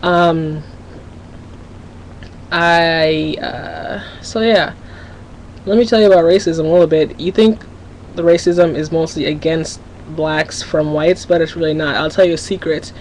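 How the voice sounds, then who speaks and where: A woman's voice: sits low, fairly even intonation, a little slow, a little mellow, a little hiss or hum, poor audio. A teenage girl from Minnesota talking candidly to her webcam at home in a rambling vlog, often restarting her sentences. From Minnesota, USA. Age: teens. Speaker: vlogger. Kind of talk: casual confessional webcam vlog.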